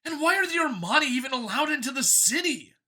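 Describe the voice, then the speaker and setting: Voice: high-pitched, sing-song, quick pace, pushed and bright, voice pushed up, exaggerated voice. A narrator voicing a smug, bigoted android copy of a man in an audiobook, with an eager, boastful, emphatic and sneering delivery. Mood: sneering, fervent.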